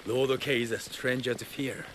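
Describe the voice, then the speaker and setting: Guy doing a stern devoted warlord's man, game cutscene, formal and solemn, mid pitch, rainy noise behind. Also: steady tone, moderate pace, a bit bright, close to an everyday voice. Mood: solemn conviction. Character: severe, devoted follower of a feudal Japanese warlord. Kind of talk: dramatic video game acting. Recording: clear rain and ambient noise behind.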